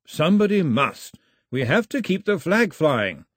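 A man's voice: on the high side, sing-song, unhurried, husky, no echo, clean studio sound, voice pushed up, heavily acted. A man voicing a grieving upper-class father who speaks in a clipped, understated and firm way. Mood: wry.

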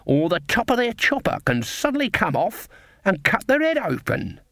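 A man's voice: high voice, huge pitch swings, normal speed, vocal fry, fairly bright tone, dry recording, studio quality, pitched above the performer's own voice, exaggerated voice. A man reading a story, voicing a shrewd old witch woman who talks in a sing-song, falsely innocent country dialect. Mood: quietly menacing.

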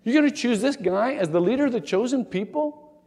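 A man's voice: extremely high pitch, animated, a little fast, a little mellow, breathy edge, long reverb tail. A man preaching a sermon as pastor, telling stories in a folksy, joking way and briefly acting out the voices of the people he talks about. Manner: folksy, humorous storytelling with acted-out voices.

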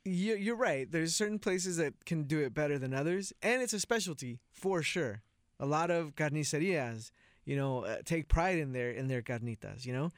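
A man's voice: a little high, expressive, moderate pace, no echo, studio quality. A man co-hosting a casual food chat, agreeable and conversational, repeating himself for emphasis. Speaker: podcast co-host.

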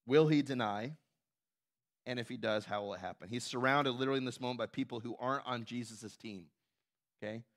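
A man's voice: medium pitch, a little fast. A man preaching a sermon as pastor, conversational, often asking "right?" and acting out quotes with a raised voice. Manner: animated, acts out quotes with raised voice.

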